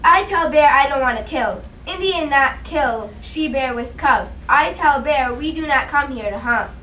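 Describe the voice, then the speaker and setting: A woman's voice: high-pitched, animated, a little slow, pushed and bright, roomy sound, distant mic, a little hiss or hum, heavily acted. A woman voicing a terse young Native boy who speaks clipped broken English. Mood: solemn.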